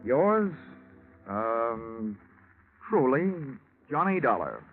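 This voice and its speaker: An actor playing a wry American insurance investigator who talks in a dry, laconic way, in 1940s radio detective style. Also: male voice, mid-range voice, big pitch swings, drawn-out words, very noisy, exaggerated voice. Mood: jaunty, wry. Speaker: lead actor and narrator. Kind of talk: 1940s radio detective acting, first-person narration. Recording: muffled old radio recording with music.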